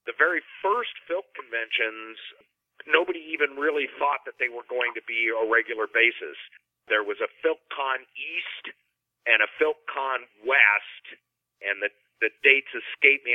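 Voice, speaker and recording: A man's voice: medium pitch, no echo. A man speaking as a podcast guest, explaining things conversationally over a phone line. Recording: narrow, dry phone line.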